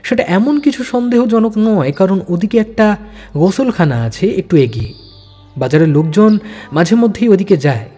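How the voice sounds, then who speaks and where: A man's voice: high-pitched, warm tone, slightly rough. A man narrating a spy thriller audio story in a dramatic, suspenseful manner.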